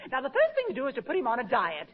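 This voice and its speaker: An actress playing a haughty, affected, sugary wife in 1940s radio comedy. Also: female voice, high voice, wildly swooping pitch, very fast. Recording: dull old radio recording, audience behind.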